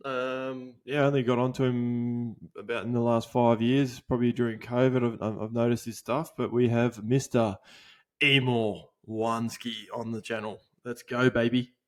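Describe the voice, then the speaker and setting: A man's voice: medium pitch, little pitch movement. A young Australian man hosting a podcast, talking casually with lots of swearing, fillers and restarts. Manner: laid-back, swears a lot, halting with fillers. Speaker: podcast host.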